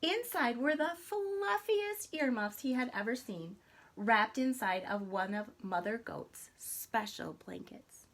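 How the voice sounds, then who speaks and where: A woman reading a children's story aloud in an expressive, deliberate, dramatic way. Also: on the high side. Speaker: storybook reader.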